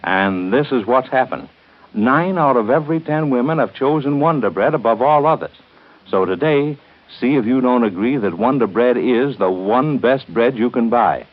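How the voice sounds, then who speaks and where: A man with an American accent announcing and reading commercials in a measured, polished 1930s radio style. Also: mid-range voice, animated, narrow, tinny audio. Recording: band-limited 1930s radio recording.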